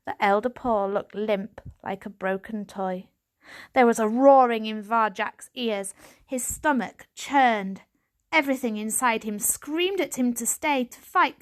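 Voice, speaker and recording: A woman's voice: a little high, measured pace. A woman reading a children's audiobook as a dramatic scripted narration. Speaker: audiobook reader.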